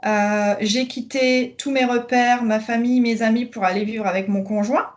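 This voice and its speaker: A woman's voice: fairly high pitch, steady tone, normal speed. A woman in her thirties from northern France, hesitantly recounting her personal journey with many fillers, over a video call. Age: 30s. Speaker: coaching client giving a testimonial. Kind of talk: conversational interview.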